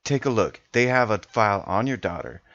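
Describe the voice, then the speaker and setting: A man's voice: lowish voice, lively intonation, a little fast, fairly bright tone, no echo. A man playing a tabletop role-playing game, informal and reactive, often thinking aloud, recorded in a home studio. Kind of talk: casual improvised tabletop play. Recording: dry, slightly dull home studio sound.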